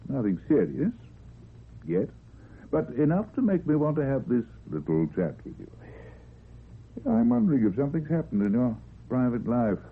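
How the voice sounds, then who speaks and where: An actor playing a stern, fussy office boss with a measured, condescending formality in a radio drama. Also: male voice, medium pitch, wildly swooping pitch, gravelly, a little hiss or hum. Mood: probing. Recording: thin, narrow old radio recording.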